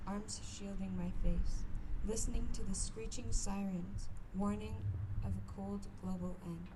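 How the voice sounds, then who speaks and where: A young woman in her late teens giving a solemn poetry recitation in measured, deliberate phrases. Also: mid-range voice. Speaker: poem reader. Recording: noisy outdoor ambience.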